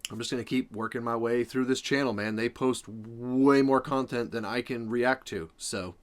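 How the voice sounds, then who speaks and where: A man with an average-pitched voice hosts a reaction video. He chats informally and approvingly, circling back to repeat what he has said. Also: steady tone, moderate pace. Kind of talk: casual reaction commentary.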